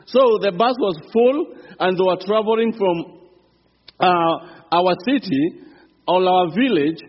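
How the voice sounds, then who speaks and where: Kenyan man preaching in a church. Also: high voice. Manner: earnest preaching, rising fervor, some halting restarts. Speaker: visiting preacher. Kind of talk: sermon. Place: church sanctuary. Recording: reverberant church hall, dull sound.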